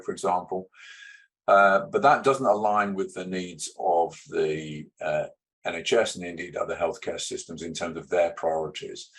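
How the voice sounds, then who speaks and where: A man speaking as a panelist in a discussion, halting often with ums and restarts as he makes his points. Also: low voice, fairly bright tone, slightly rough, poor audio. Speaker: panelist and stroke researcher.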